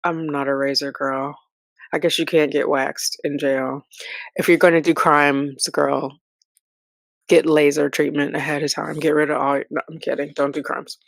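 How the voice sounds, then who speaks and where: American female commentary host, lower register, dry close sound, dramatic document reading with casual sassy asides. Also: little pitch movement, moderate pace, gravelly, no room sound.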